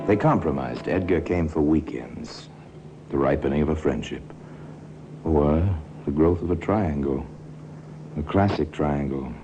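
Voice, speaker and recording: A man's voice: rumbling low, unhurried, warm tone, muffled recording. A man narrating a 1950s radio crime drama in a ruminative, wry, insinuating manner, as a knowing storyteller. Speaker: narrator and host of a crime anthology. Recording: old radio recording with music.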